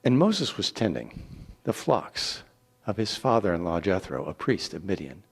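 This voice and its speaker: Expressive man reading scripture with dramatic swings. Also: low-pitched, gravelly, breathy edge. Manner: theatrical, deliberate, with dramatic pauses. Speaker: Bible reader.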